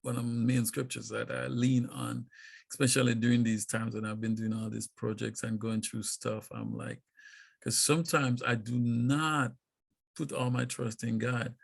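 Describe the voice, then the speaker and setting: A man chatting as a guest on a video-call talk show, playful and quick to laugh as he tells stories. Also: mid-range voice, flat delivery, gravelly. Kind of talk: casual chat. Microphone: video call mic.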